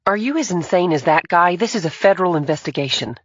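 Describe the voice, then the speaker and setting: A man voicing a sharp-tongued, fiery woman federal agent, clipped and angry. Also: fairly high pitch, brisk, no room sound, dull audio, pitched above the performer's own voice, natural voice. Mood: furious.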